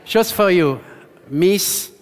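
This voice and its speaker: A man's voice: high voice, lively intonation, drawn-out words. A man in his sixties from France with a French accent, chatting playfully on stage in broken English. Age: 60s. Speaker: performer, ventriloquist. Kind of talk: casual stage chat. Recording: loud crowd and music behind.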